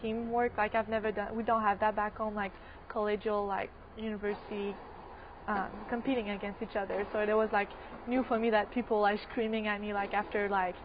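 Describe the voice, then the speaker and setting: A young woman from Quebec, a college tennis player, answering interview questions haltingly and saying "like" a lot. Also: medium pitch, level tone. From Quebec, Canada. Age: young adult. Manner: halting, packed with "like". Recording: dull audio with clear hiss.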